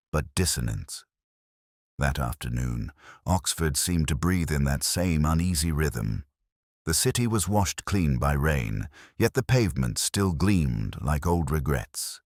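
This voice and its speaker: A man narrating a first-person detective story in a measured, brooding, literary manner, as a world-weary investigator. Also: rumbling low, a little mellow, dry recording, clean studio sound. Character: world-weary, reflective male police detective. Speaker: audio drama narrator.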